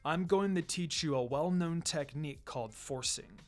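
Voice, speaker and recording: A man voicing a calm, firm, encouraging adult ninja teacher with a measured, instructive delivery in a story reading. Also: a little high, close to an everyday voice. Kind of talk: fan fiction reading with character voices. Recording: music behind the voice.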